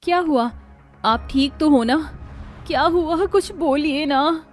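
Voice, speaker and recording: A woman's voice: sits high, slow, soft background music, pitched above the performer's own voice. A woman voicing a caring, anxious younger daughter-in-law in a cartoon, pleading with concern. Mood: worried.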